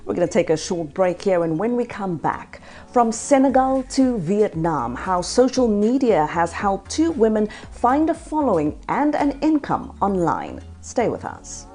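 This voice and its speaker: A woman hosting a news magazine, presenting and interviewing with a polished, clear delivery. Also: medium pitch, big pitch swings, a little slow, mellow, faint music behind.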